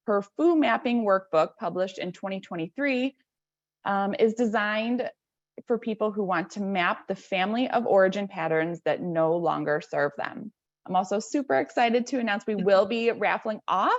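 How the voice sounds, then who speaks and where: A woman's voice: mid-range voice, dry recording. Woman, webinar host, prepared introduction, dry close sound, slightly lo-fi video call. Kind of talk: prepared introduction, partly read aloud.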